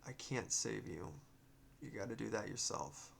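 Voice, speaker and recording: A man's voice: fairly low pitch, expressive, measured pace, vocal fry, a bit bright, a little hiss or hum, heavily acted. A man reading the lines of a young boy miner in a story, earnest and plain-spoken. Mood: apologetic, resigned. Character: young boy miner, matter-of-fact, lonely wanderer.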